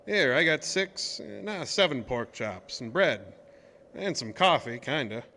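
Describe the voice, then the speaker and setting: An actor playing a folksy, easygoing oil driller in a horror audio drama, talking in colloquial rural speech. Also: male voice, mid-range voice, big pitch swings, unhurried, bright, forceful tone, full character voice. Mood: relaxed, hospitable. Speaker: narrator and lead character. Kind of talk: first-person horror audio drama storytelling. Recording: noisy track with ambient sound.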